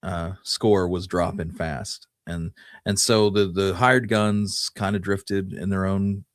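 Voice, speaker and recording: Low deep guy voice, dry close sound, casual history podcast cohost. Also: steady tone, takes it slowly, no room sound. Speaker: podcast co-host. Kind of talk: casual history discussion and storytelling.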